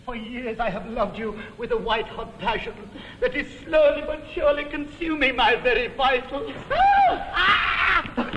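A man's voice: high-pitched, extremely slow, background noise. A man playing a timid, lovesick comic suitor in an operetta, pleading in patter and singing a mournful ballad. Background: orchestra.

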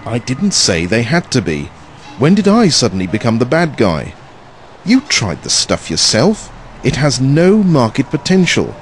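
A man's voice: mid-range voice, huge pitch swings, measured pace, soft background music. A man playing a blunt, dismissive, hard-nosed investor in an audio drama, curt and sardonic in manner. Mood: scornful.

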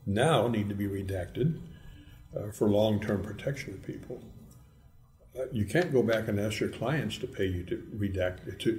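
Low-voiced male host, echoey room. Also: a little mellow. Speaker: podcast co-host. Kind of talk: podcast interview.

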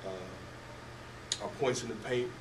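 An older man coaching basketball, answering press questions haltingly with many fillers and restarts. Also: fairly low pitch. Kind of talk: postgame press conference answers. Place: press room at a table before a team backdrop. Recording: echoey room, distant mic, noisy.

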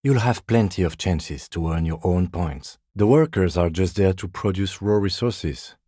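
Middle-aged police lieutenant, video game dialogue, husky, measured, understated, dry wit, deep voice, close dry sound. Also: male voice, mellow, no room sound. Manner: measured, understated, dryly wry.

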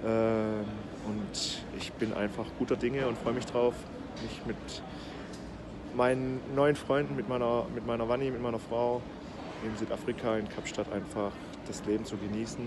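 A man's voice: medium pitch, level tone, moderate pace. A man in his thirties filming himself at arm's length in an airport terminal, upbeat and hesitant with many fillers. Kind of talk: casual vlog talk to camera. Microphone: phone camera at arm's length. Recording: noisy airport ambience.

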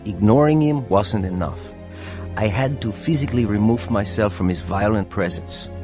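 A man's voice: lowish voice, normal speed, music in the background, close to an everyday voice. An actor playing a troubled, hot-tempered young man in a radio drama, both in dialogue and telling his own story. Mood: confessional, reflective. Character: troubled hot-tempered teenage boy, also narrating his life as testimony. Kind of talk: radio drama acting and first-person narration.

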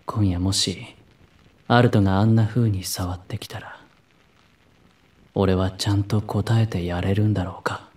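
An actor playing a cool, blunt but secretly tender young warrior priest in a Japanese drama CD. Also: male voice, on the low side, steady tone, a little slow, long pauses. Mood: tender, reflective. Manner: gruff wording, understated, soft-spoken tenderness.

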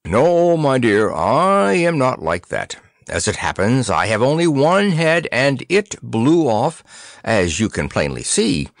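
A man's voice: mid-range voice, big pitch swings, slow pace, vocal fry, no room sound, studio quality, pitched above the performer's own voice, full character voice. A man voicing the drowsy, gracious severed head of a storybook king, drawling in a courtly manner.

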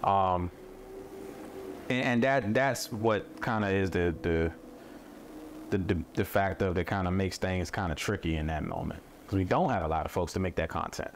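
A man who makes mental health content, talking thoughtfully in a relaxed conversation, with many fillers and restarts. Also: low voice, normal speed, a little hiss or hum. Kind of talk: casual conversation. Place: studio set with a table.

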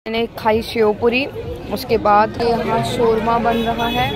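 A woman narrating a casual travel vlog outdoors at night, chatty and full of excited exclamations. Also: on the high side, a little slow. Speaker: vlogger. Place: outdoors at a crowded beach at night. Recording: crowd noise behind. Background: crowd chatter.

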